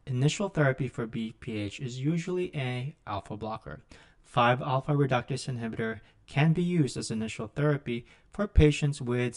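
Male, middle-aged, mid-pitched voice for scripted clinical teaching; measured, explanatory delivery. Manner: measured, instructional. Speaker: physician lecturer. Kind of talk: scripted medical lecture narration.

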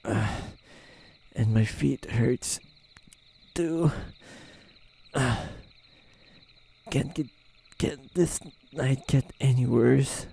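A man performs a male nine-tailed fox spirit for an ASMR roleplay drama. His voice is very mellow and dark, with plenty of gravelly fry. He speaks slowly and haltingly, wary and proud yet easily flustered. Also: mid-range voice, exaggerated voice. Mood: weary, pained. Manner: hesitant, halting, muttering to himself.

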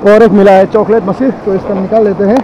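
A high-pitched man talks casually to his camera outdoors, exclaiming and repeating short phrases. The sound is dull, with loud river water rushing behind him. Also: flat delivery, noisy background. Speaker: vlogger. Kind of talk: casual outdoor vlog commentary. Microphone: handheld camera microphone.

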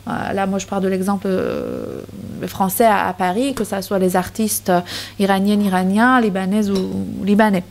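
A woman's voice: mid-range voice, very animated, moderate pace, gravelly, a little hiss or hum. A woman in her thirties speaking at a university panel into a table microphone, with frequent fillers and restarts. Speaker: conference speaker. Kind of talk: academic talk with many hesitations. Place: university lecture hall, seated at panel table. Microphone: table microphone on stand.

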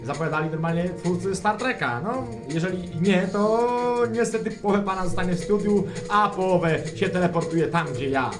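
A man's voice: high voice, animated, moderate pace, hardly any pauses, over music. A man playing an anxious, eccentric, rambling radio caller in a comic radio play, hesitant and stammering, with folksy colloquial speech. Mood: excited, folksy.

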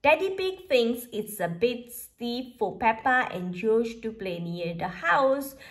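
Woman doing kids story read-aloud, kinda high voice, really animated, careful phrasing. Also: takes it slowly. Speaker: storybook reader.

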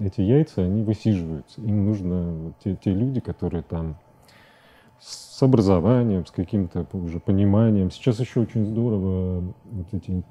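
A man's voice: fairly low pitch, warm tone, lo-fi sound. A middle-aged man giving a casual studio interview as a guest, searching for words as he talks. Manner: hesitant, halting, searching for words. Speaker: guest, animation director. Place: TV studio, seated at desk.

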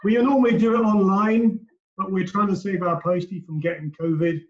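A man's voice: high-pitched, steady tone, fairly quick. Cheerful man on a video call. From Scotland. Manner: cheerful, enthusiastic. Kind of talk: casual chat. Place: home kitchen. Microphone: video call laptop mic. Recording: echoey, dull video call audio.

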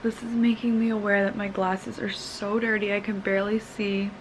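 A young woman vlogging casually from her bed with a croaky morning voice and a relaxed, chatty manner full of fillers, filmed on a camera held at arm's length. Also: mid-range voice, normal speed, slight background noise, poor audio. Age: young adult. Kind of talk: casual vlog chat. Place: bedroom, in bed. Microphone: handheld camera at arm's length.

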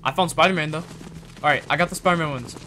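A man improvising informal roleplay chat in a video game, reacting in an animated way. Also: on the high side, rapid-fire, slight background noise. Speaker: roleplay video host and player.

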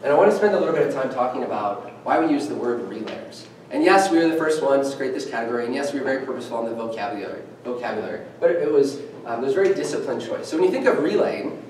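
Young male presenter, lively and chatty, off-mic in a reverberant meeting room, faint room hiss. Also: mid-range voice. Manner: energetic, conversational, engages the audience with questions. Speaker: startup presenter at a meetup. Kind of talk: conference presentation. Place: meeting room with a screen and podium. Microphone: far camera, no mic. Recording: echoey room from far off.

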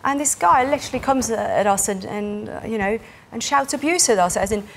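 A woman's voice: on the high side, brisk, vocal fry, slight background noise. Swiss-accented woman politician being interviewed. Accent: Swiss German. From Switzerland. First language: Swiss German. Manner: animated, gestures while talking, many fillers. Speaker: parliamentary candidate. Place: small TV studio.

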